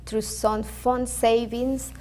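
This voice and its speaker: Halting Venezuelan woman, flat voice. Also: on the high side, a little hiss or hum. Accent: Venezuelan Spanish. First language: Spanish. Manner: careful, halting, with fillers and restarts. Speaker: real estate agent guest. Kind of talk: interview answers.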